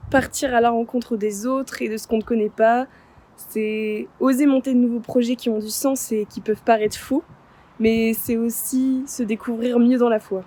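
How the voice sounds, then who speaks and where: A woman in her twenties introducing herself in an earnest, steady way. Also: on the high side, normal speed. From France. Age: 20s. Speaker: team member introducing herself. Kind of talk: prepared self-introduction.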